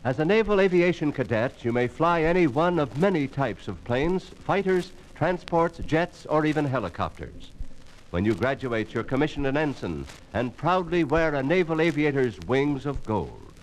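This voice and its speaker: Man, American accent, 1950s radio ad announcer, lively intonation, highish pitch, faint noise. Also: moderate pace, rough recording. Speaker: recruitment announcer. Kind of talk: 1950s radio advertisement narration.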